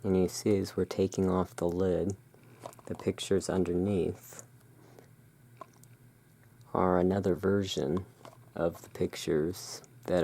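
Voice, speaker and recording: A soft-spoken ASMR host roleplaying the owner of a gothic curiosity emporium, presenting cards in hushed, unhurried short phrases with pauses. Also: low-pitched, vocal fry. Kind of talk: soft-spoken ASMR tarot card showing.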